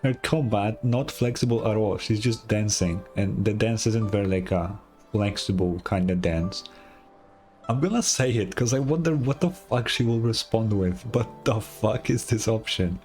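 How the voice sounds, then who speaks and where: A man's voice: on the low side, normal speed, darkish tone. Blunt male streamer reacting casually. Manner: blunt, sweary, offhand. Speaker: game streamer. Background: game music.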